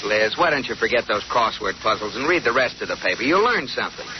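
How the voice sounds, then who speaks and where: An actor playing an exasperated, jealous but fond husband in old-time radio sitcom style, with wry comic delivery. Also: male voice, lowish voice, animated, fast talker, natural voice. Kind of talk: 1940s-50s radio sitcom acting. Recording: noisy, muffled old radio broadcast recording.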